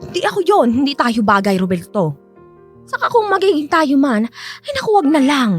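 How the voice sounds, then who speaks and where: Sharp-tongued older lady, high-pitched, very animated, scolding banter, radio drama, music behind. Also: moderate pace. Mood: scolding, dismissive. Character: feisty, sharp-tongued older woman resisting a suitor.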